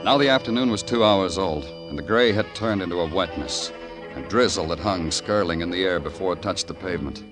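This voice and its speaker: An American actor playing a world-weary, sardonic police detective in a radio noir, terse and brooding in dialogue and narration. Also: male voice, low-pitched, brisk, close to an everyday voice. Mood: brooding, wry. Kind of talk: noir scripted narration and 1950s radio acting. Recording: old radio recording with music behind.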